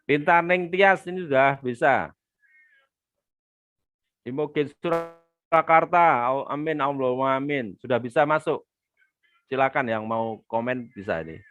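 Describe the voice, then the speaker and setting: A man's voice: mid-range voice, a little slow, long pauses, fairly bright tone, no room sound, highs cut off, faint music behind. A man teaching online marketing on a live webinar, chatting with viewers and reading their comments aloud. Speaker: business trainer hosting a live webinar. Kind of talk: live-stream teaching with viewer shout-outs.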